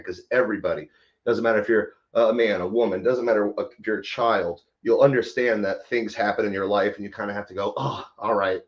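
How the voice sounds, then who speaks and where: A man, a theater actor, explaining things casually as an interview guest. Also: on the low side. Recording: dull, lo-fi sound.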